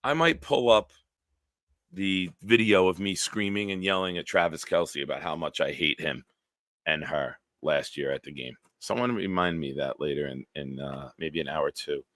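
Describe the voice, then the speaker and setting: A man in his forties with a smooth, very low voice hosts a live stream, tossing blunt, profane banter at his chat. His delivery is forceful and punchy. The sound is dry and close, with no room around it. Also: moderate pace, dry recording. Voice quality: deep, smooth voice. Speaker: live-stream show host. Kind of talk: casual live-stream chat, reading viewer comments.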